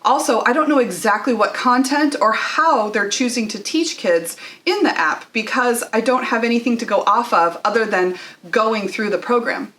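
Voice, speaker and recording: A woman's voice: on the high side, lively intonation, normal speed, fairly bright tone. Conversational woman giving a casual review. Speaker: homeschooling mom giving a product testimonial. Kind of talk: casual direct-to-camera product review.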